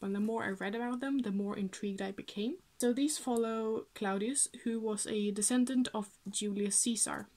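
A woman's voice: medium pitch, darkish tone. A young woman from Sweden with a Swedish accent, chatting casually to camera about books in a small room at home, with frequent fillers and small laughs. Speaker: book vlogger.

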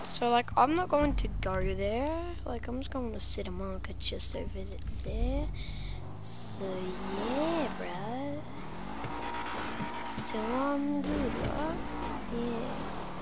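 A young woman chats to her stream while driving in a game, slipping into a joking put-on New Zealand voice. Her voice sits high and she talks at an easy, slow pace. Revving engines and road noise from the game run loud under her. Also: lively intonation. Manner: playful, trying out a put-on New Zealand accent. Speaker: gamer streaming to chat. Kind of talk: casual gameplay commentary.

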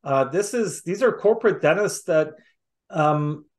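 A middle-aged man hosts a casual interview over a video call. His voice sits a little high, and he talks haltingly, doubling back on words as he builds his point. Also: expressive. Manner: halting, repeating words as he goes. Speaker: interviewer, host.

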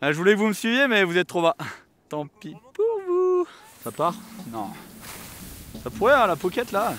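A man from France in his thirties giving excited, playful commentary while skiing down a snowy mountain, filmed on an action camera. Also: sits high, moderate pace, a little hiss or hum. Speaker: freeride skier filming himself. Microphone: helmet or pole camera. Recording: loud music over outdoor camera audio.

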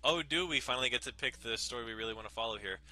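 A man's voice: mid-range voice, very fast, bright, forceful tone, soft background music. A man giving a dramatic reading of a visual novel as its narrator. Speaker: dramatic reader.

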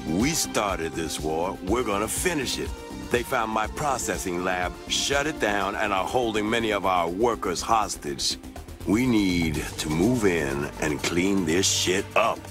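A man voicing a calm Latin American drug grower in a crime game, speaking in a measured, persuasive way. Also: low voice, music in the background. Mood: earnest business. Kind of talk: video game cutscene acting.

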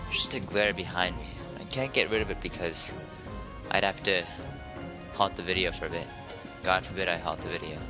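A young man commentates on a video game. His voice sits low, and he rambles casually with a sardonic edge. Game music plays loudly underneath. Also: a little fast. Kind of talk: casual let's play commentary.

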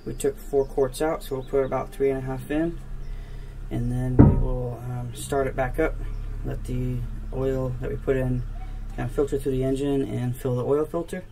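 Male DIY mechanic, casual and unhurried how-to delivery, plain-spoken, outdoor camera audio with faint background noise. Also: mid-range voice, little pitch movement. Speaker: DIY mechanic demonstrating a repair. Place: outdoors in a driveway beside a jet ski.

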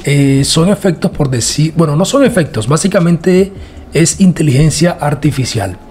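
A man narrating a casual software tutorial in a chatty, repetitive way. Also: fairly high pitch, lively intonation, moderate pace, soft background music. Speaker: tutorial host.

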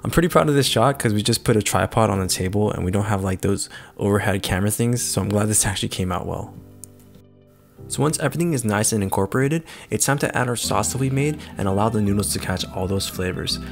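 A man hosting a cooking video, narrating steps in a relaxed, chatty way with self-deprecating asides. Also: fairly low pitch, fast, gravelly. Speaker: cooking channel host. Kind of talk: casual cooking voice-over. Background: guitar music.

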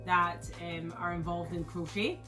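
A woman's voice: on the low side, flat delivery, unhurried, over music. Friendly Scottish woman walking through steps. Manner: friendly, explanatory, step-by-step. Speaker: crochet teacher. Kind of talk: promotional class introduction. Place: indoor yarn studio with shelves.

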